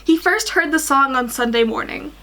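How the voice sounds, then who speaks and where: A woman's voice: high voice, moderate pace, pushed and bright. A woman in her twenties reading a fan story aloud and chatting informally in a small room at home. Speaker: guest reader on a fan fiction livestream.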